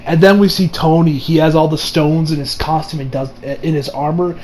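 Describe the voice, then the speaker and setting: A young man giving a casual, unscripted movie review in an excitable, gushing manner with plenty of swearing. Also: on the high side, normal speed, a little hiss or hum.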